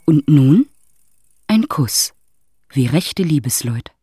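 An actress as a wry, husky storyteller, narrating a literary radio drama as if reading stage directions. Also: female voice, low voice, big pitch swings, slow pace, warm tone, no echo, studio quality, soft background music, full character voice. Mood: announcing.

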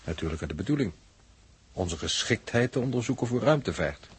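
An actor playing an earnest, analytical space test crewman with a husky voice, reasoning methodically in a 1960s radio drama. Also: fairly low pitch, expressive, fairly quick. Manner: methodical, didactic.

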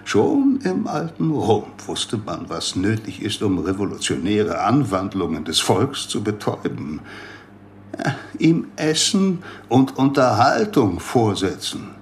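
A man's voice: fairly low pitch, very animated, measured pace, slight background noise. A man playing a rambling, conspiracy-minded doctor in a radio play, lecturing with theatrical emphasis. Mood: emphatic, amused.

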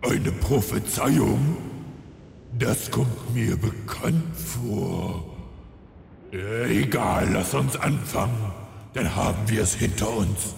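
A man's voice: lowish voice, slow, creaky, darkish tone, a little hiss or hum, exaggerated voice. A man playing a weary undead villain lord with dry, condescending sarcasm in a fantasy parody audio drama. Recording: long echoing reverb, music behind.

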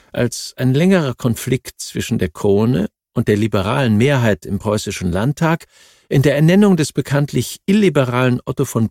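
A man reading a scripted history audiobook in a measured, formal way. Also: medium pitch, creaky, darkish tone, dry recording, clean studio sound. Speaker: audiobook narrator.

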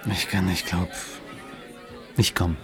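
An actor playing a withdrawn writer on the phone, terse and hesitant, in radio drama dialogue. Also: male voice, deep voice, flat delivery, a little slow, soft background music, some chatter behind. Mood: guarded.

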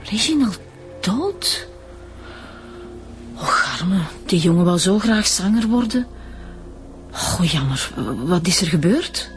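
Haughty singing teacher, swooping intonation. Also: female voice, lowish voice, very animated, slow, long pauses, faint music behind. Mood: shocked, pitying. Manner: affected, haughty, evasive. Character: haughty, fussy female singing teacher, guarded and theatrical. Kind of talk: radio drama acting.

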